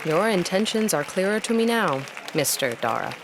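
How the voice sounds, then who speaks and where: An actress playing a reserved, keen-witted historian in a gothic audio drama, speaking formally with a dryly probing manner. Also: female voice, lowish voice, big pitch swings, moderate pace, a loud crowd. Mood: curious.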